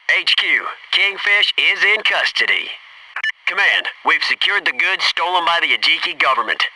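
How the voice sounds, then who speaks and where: An actor playing an alert, loyal Navy SEAL squad soldier in a military shooter game, giving clipped reports and dry remarks. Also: male voice, on the high side, pushed and bright, recorded from a distance, a little hiss or hum, low-quality recording.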